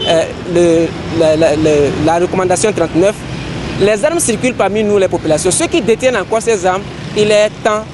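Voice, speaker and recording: A man with a Togolese accent giving a formal, earnest statement as a citizen, speaking haltingly and ceremoniously. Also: high voice. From Togo. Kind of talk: formal spoken statement to a microphone. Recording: clear outdoor street and traffic noise.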